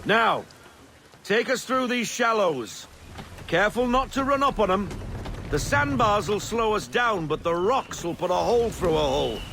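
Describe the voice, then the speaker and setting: An actor playing a hearty, gruff older ship's first mate with boisterous, salty talk in a video game. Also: male voice, sits high, very animated, unhurried, noisy background, full character voice. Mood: instructive, alert. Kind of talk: video game dramatic acting.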